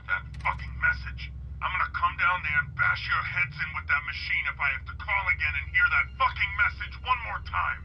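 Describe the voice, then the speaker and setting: Muffled, menacing debt collector on answering machine. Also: male voice, a little high, fairly quick, a little hiss or hum. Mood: menacing, irritated. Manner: threatening, irritated. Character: menacing, foul-mouthed debt collector threatening violence by phone. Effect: answering machine filter. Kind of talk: dramatic video game voice acting.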